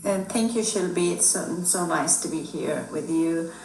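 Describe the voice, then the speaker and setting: A middle-aged woman from Afghanistan, a native Dari speaker, answering interview questions in a thoughtful way with many pauses and fillers. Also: fairly low pitch, a little mellow, slight background noise. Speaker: guest. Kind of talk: conversational interview. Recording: echoey room, distant mic, music behind.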